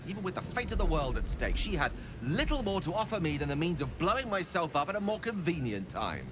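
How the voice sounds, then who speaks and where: An actor playing an ambitious, earnest wizard scholar in a video game, eloquent, persuasive and grandiose. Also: male voice, fairly high pitch, very animated, brisk, noisy background. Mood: bitter.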